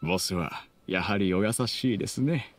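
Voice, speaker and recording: A man voicing a courteous, devoted middle-aged gentleman henchman in an anime game, polite and formal. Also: lowish voice, normal speed, close to an everyday voice. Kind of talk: Japanese anime game voice acting. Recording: music behind the voice.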